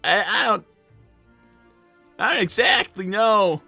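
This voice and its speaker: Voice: mid-range voice, slow pace, creaky, pushed and bright, breathy edge, faint music behind, exaggerated voice. A performer voicing a flustered young boy fox cartoon character, stammering and repeating words while hosting a game livestream. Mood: flustered, puzzled. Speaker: streamer hosting a live show.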